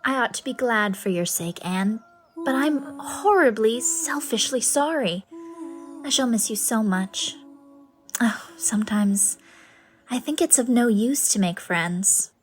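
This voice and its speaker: A woman playing a tender, fanciful ageing spinster with an expressive manner in a dramatised audiobook. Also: on the high side, a little slow. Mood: wistful. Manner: wistful, expressive.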